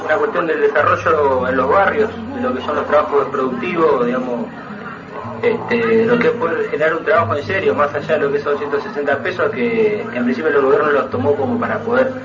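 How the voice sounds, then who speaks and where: Echoey, distant Argentine man explaining as activist. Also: fairly high pitch. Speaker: activist spokesman of a neighbourhood movement. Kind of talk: spontaneous spoken explanation, interview-like. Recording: dull, echoey distant recording with music. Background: music.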